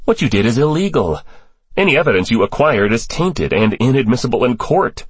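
A man's voice: medium pitch, big pitch swings, a little fast, breathy edge, no room sound, voice pushed up. A man voicing a disgusted, disdainful male senator who challenges and accuses, in an audiobook thriller. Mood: disdainful, accusing.

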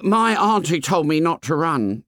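A man's voice: on the high side, big pitch swings, a little slow, fairly bright tone, no room sound, clean studio sound, pitched above the performer's own voice. A man voicing a fat, anxious schoolboy with asthma who chatters eagerly in ungrammatical speech. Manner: eager, working-class boy's grammar.

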